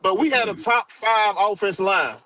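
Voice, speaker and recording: A man arguing sports in a forceful, profane, casual way. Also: sits high, moderate pace, a crowd in the background.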